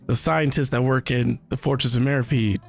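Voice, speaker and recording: A woman's voice: rumbling low, fairly even intonation, fairly quick, creaky, faint music behind. A woman streamer giving casual, slangy live reactions to a video game. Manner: informal, slangy.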